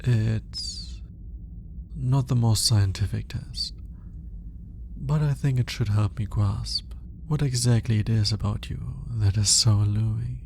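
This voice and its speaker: A man playing an alien scientist in an ASMR roleplay, formal and curious, with clinical wording and hesitant, flustered pauses as he awkwardly courts the listener. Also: fairly low pitch, steady tone, vocal fry, a little mellow, a little hiss or hum. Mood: intrigued, clinical. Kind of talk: ASMR roleplay audio drama, speaking to the listener.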